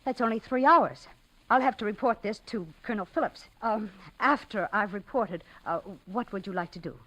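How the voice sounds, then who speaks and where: A woman's voice: mid-range voice, fairly bright tone, close to an everyday voice. A woman acting in a 1950s radio drama, playing a matter-of-fact, skeptical army lieutenant of the future. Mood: friendly. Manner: brisk, military, matter-of-fact. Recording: noisy old radio recording.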